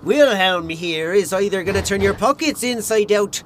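A high-pitched weasel of a bookie, skinny and crooked. He wheedles and threatens in big theatrical swoops, like a noir comedy crook, over faint noise. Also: lively intonation, moderate pace. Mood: mocking menace. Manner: wisecracking, theatrical, wheedling menace. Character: skinny, slippery, sly crooked male bookie. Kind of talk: comedic noir audio play acting.

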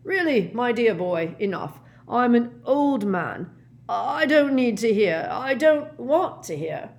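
A woman voicing a tearful, tipsy, frightened old male professor in a storybook, halting and genteel. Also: a little high, lively intonation, voice pushed up. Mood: distressed.

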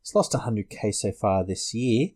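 A man's voice: fairly low pitch, lively intonation, moderate pace, darkish tone. A man hosting a casual sports podcast, chatting about fantasy picks. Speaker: podcast host.

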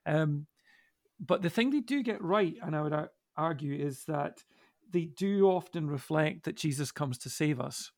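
A man's voice: a little high, lively intonation, a little slow, gravelly, no echo, studio quality. A man co-hosting a podcast, talking casually and thoughtfully about theology in a pondering, questioning way. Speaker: podcast co-host.

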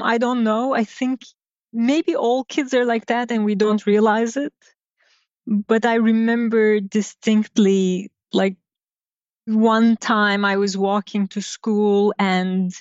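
A middle-aged woman from Turkey, a designer, telling stories from her childhood in an interview, unhurried and reflective with many pauses. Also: medium pitch, dry recording. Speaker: guest designer. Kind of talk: casual interview storytelling. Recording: dull lo-fi sound.

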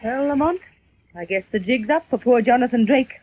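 A woman's voice: on the high side, normal speed, over loud music, close to an everyday voice. An actress playing a detective's inquisitive, refined young woman companion, questioning in old-time radio drama style. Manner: questioning, animated. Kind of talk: 1940s radio drama acting. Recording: muffled, noisy vintage radio recording.

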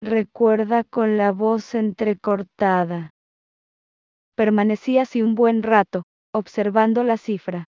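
A woman reading a text aloud phrase by phrase, then repeating each phrase slowly and calling out the punctuation marks. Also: mid-range voice, steady tone, dark tone, no room sound, muffled recording.

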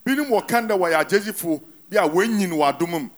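A male interpreter with a high-pitched voice repeats the preacher's sermon line by line. He speaks right after each phrase. The sound comes from a church service. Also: lively intonation, fast talker.

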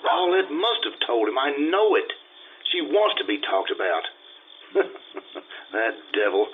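A man's voice: medium pitch, big pitch swings, unhurried. Guy acting as vain womanizing adventurer, pompous old radio drama style, thin phone-like sound w some noise. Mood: rattled. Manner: pompous, theatrical. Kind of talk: 1950s radio drama acting, also narrating. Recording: tinny, noisy radio-style stage recording.

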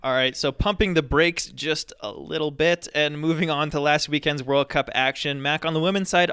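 A man's voice: mid-range voice, sharp tone, smooth. A man co-hosting a sports podcast, giving opinionated commentary with lots of ums and restarts. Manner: opinionated ranting, frequent fillers. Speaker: podcast co-host. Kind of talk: casual podcast commentary on ski racing.

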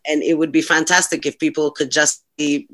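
A woman from Ghana speaks as a guest in a relaxed conversation. Her voice is bright and forceful, and she talks with passion and emphasis, often restarting her sentences. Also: low voice, fairly quick. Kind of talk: casual conversation.

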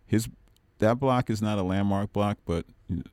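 A man's voice: lowish voice, moderate pace, a little mellow, no room sound. A man, a musician guest on a radio show, talking in a loose, rambling way and laughing often. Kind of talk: casual radio chat. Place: radio studio. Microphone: studio microphone.

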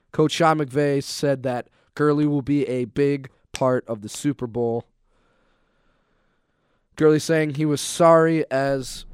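A man's voice: mid-range voice, a little mellow, clean tone, no echo. A young man co-hosting a sports radio show, bantering casually into a close studio mic.

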